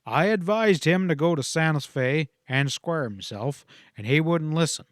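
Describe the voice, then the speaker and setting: A man's voice: a little high, animated, moderate pace, dry recording, studio quality, close to an everyday voice. A man voicing a stubborn, honest frontier lawman in a western audiobook, terse, dogged and plain-spoken.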